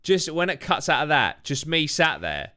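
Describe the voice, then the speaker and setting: A man's voice: on the high side, very animated, moderate pace, pushed and bright. Laid-back sweary guy. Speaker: paranormal channel host. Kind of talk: casual chat reacting to own video. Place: home room.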